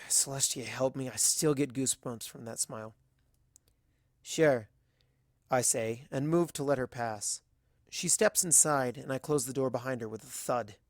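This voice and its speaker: A narrator giving an expressive, dramatic reading of scripted first-person fiction. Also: medium pitch, normal speed, no echo, clean studio sound. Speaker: audiobook narrator.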